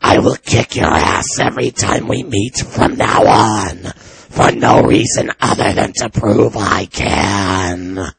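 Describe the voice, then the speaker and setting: A man voicing a menacing, smug giant villain who makes blunt deadpan threats in a video game parody. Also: lowish voice, takes it slowly, vocal fry, breathy edge, no room sound, heavily acted. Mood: smug menace.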